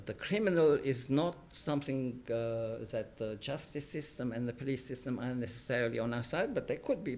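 A middle-aged man, an academic, speaking freely to an audience in a small room, animated and emphatic, with some ums. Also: medium pitch. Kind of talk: public talk, speaking freely. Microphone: stand mic, filmed from the audience.

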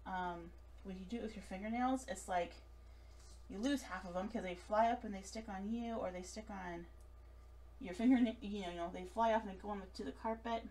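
A woman's voice: mid-range voice, a little fast, a little mellow. A woman in her late thirties giving a live craft demonstration, chatty and giggly with self-deprecating asides, recorded at a table under an overhead camera. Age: late 30s. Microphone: overhead camera above table.

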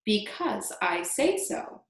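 A woman voicing a mean, bossy, excitable aunt in a storybook reading, exclaiming and scolding. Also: mid-range voice, slow pace. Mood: snappish. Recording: echoey room.

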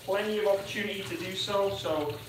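High-voiced man rambling while cooking. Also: fairly quick, noisy background. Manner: chatty, rambling, self-deprecating. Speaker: live streamer cooking and chatting. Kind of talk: casual live stream chat. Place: home kitchen. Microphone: camera on tripod across the kitchen. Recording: roomy, distant kitchen sound.

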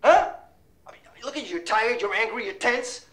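A man's voice: high voice, fast, sharp tone, roomy sound. An actor playing a blunt male friend who swears and stumbles over repeated words. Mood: scolding. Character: loud, blunt male friend, scolding then soothing.

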